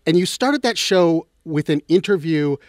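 A man's voice: fairly high pitch, lively intonation, normal speed, a little mellow, no echo. Playful man podcast host, dry studio. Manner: playful, joking. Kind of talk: casual chat.

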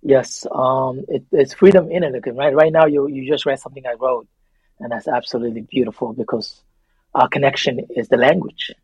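Husky Somali-accented man, earnest. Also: medium pitch, fairly even intonation, normal speed, a little mellow, no room sound, poor audio. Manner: earnest, emphatic, repeats phrases. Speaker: interview guest, memoir author. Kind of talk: reflective interview conversation.

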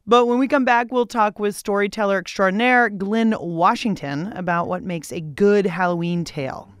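A woman's voice: mid-range voice, normal speed, clean tone, no room sound, studio quality. A woman hosting a radio show, reading chatty scripted narration with a playful, cheeky manner. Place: radio studio.

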